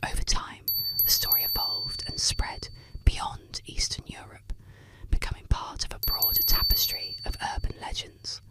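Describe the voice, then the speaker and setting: A woman narrating eerie legends as scripted ASMR in a hushed, deliberate, close-miked voice with gentle pauses. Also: poor audio. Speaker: ASMR host and storyteller. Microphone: close-up microphone.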